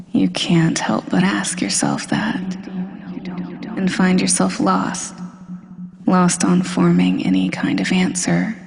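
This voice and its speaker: Woman playing a slow, reverberant supervillain hypnotist. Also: lowish voice, a little mellow, exaggerated voice. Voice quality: breathy, often dropping to a whisper. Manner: slow, deliberate, hypnotic, commanding. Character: smug, domineering female supervillain hypnotist, poised and teasing. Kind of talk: slow scripted hypnosis roleplay audio. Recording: long hall-like reverb.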